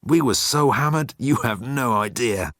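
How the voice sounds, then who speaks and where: A man's voice: medium pitch, measured pace, a bit bright, dry recording, studio quality, voice pushed up, close to an everyday voice. A man voicing a cocky, flippant male alien rogue with a breezy, teasing manner in a comic sci-fi audiobook. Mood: cheeky, strained.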